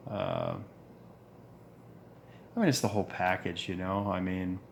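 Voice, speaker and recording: A man in his forties with a deep voice, talking earnestly in a reflective monologue, pausing and restarting as he goes. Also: wildly swooping pitch, gravelly, a little hiss or hum. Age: 40s. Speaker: vlogger.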